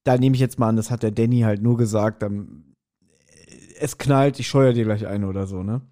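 A man's voice: on the low side, steady tone, normal speed, mellow. A man co-hosting a podcast, casually discussing an audio drama and critically reasoning aloud. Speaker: podcast co-host.

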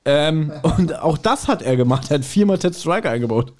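Ein Mann, der als Podcast-Host locker und gesprächig plaudert, scherzhaft und selbstironisch. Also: male voice, on the high side, expressive, normal speed, a little mellow. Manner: chatty, self-mocking, jokey. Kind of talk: casual, joking podcast chat.

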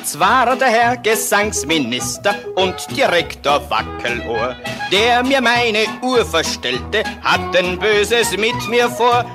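A woman's voice: mid-range voice, animated, slow, music in the background. A woman voicing a young hare who defiantly sings and yodels in a radio play. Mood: trotzig singend. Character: junger Hase, singt trotziges Jodellied. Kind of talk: Gesang, Jodeln. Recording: Hörspielausschnitt mit Musik. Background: Musik.

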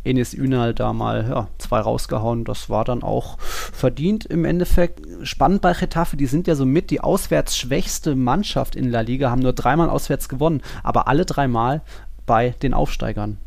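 A man's voice: medium pitch, normal speed, creaky, darkish tone, no room sound. A man co-hosting a football podcast, talking casually and commenting on play as it unfolds.

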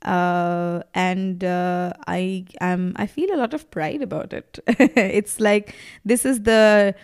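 A woman's voice: on the low side, steady tone, slow pace, darkish tone, clear, resonant tone. A woman in her thirties whose first language is Bangla, talking in a reflective, passionate way with many fillers as a podcast guest. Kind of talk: casual conversation.

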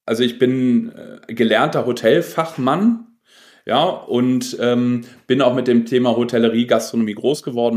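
A man in his forties talks about himself as a guest in an interview. His voice is strong and commanding at a middle pitch, and he speaks reflectively, often pausing and repeating words as he searches for the next thought. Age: 40s. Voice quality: loud, commanding voice. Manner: reflective, halting with frequent pauses and repeated words. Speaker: podcast guest, personal development coach. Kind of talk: personal storytelling in an interview.

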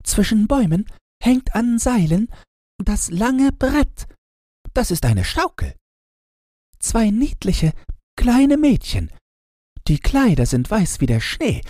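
Man as a high, lilting little flower. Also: warm tone, no room sound, studio quality, heavily acted. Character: small snow flower, dreamy, airy storyteller.

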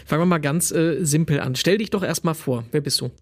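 Slightly high-voiced male podcast host. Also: fairly quick. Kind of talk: conversational interview.

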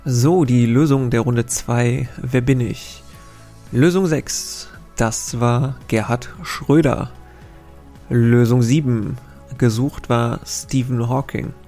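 A man's voice: medium pitch, vocal fry, darkish tone. A man hosting a casual quiz podcast, reading out riddles and wandering into chatty, self-correcting asides.

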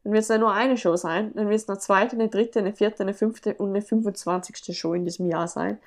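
Woman, blocked-nose voice, rambling, self-correcting, mid pitch, podcast guest. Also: steady tone, normal speed. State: congested, stuffy nose from a cold. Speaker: athlete guest. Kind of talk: casual podcast interview.

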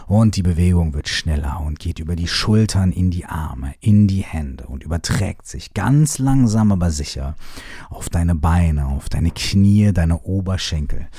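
A man with a very deep, husky voice talks a listener through a body-shaking exercise. His instructions repeat in a soft, half-whispered way, casual enough to slip in a curse. The sound is dry and close, with no room around it. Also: expressive, a little slow, no echo. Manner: repetitive spoken instructions with casual swearing. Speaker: movement exercise guide. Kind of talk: guided shaking meditation.

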